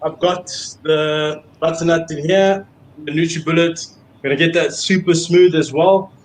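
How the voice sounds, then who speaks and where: A man's voice: a little high, fairly even intonation, a little slow, roomy sound, a little hiss or hum. A man with a South African accent, a guest chef talking viewers through his dish over a laptop video call. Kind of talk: casual cooking demo on video call.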